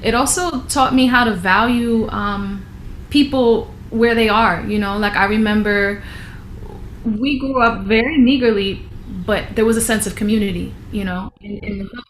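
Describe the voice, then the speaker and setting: A woman talks reflectively as an interview guest, often restarting her sentences. Her voice sits mid-pitch. The room sounds echoey with a faint hiss behind her. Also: normal speed. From Philadelphia, USA. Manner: warm, reflective, many fillers and restarts. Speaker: musician guest. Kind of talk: casual interview talk. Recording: roomy room with faint noise.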